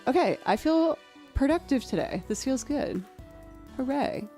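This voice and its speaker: A young woman chats loosely on her livestream, musing out loud with plenty of ums and likes. Her naturally low voice has a soft, warm tone. Faint music sits underneath. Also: lively intonation, unhurried. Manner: chatty, thinking aloud, with fillers. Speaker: streamer and musician. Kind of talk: casual livestream chat.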